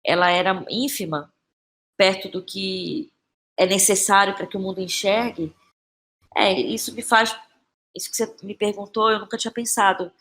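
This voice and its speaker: A Brazilian woman speaks as a guest in a reflective interview, her voice at a medium pitch. She is thoughtful and halting, often restarting as she searches for the right words. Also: steady tone, fairly bright tone. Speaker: guest playwright and actress.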